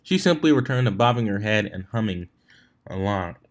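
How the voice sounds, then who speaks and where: A man reading fan fiction aloud as an amateur narrator, expressive with the odd stumble. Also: lowish voice, normal speed. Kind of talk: amateur scripted story narration.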